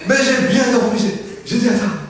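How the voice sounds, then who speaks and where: A French-speaking man preaching a Pentecostal sermon, with emphatic call-and-response, frequent hallelujahs, and quiet joking asides. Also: moderate pace, crowd noise. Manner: lively pitch swings, drops to quiet asides and jokes. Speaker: pastor. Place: large church hall. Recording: very echoey hall, mic at a distance.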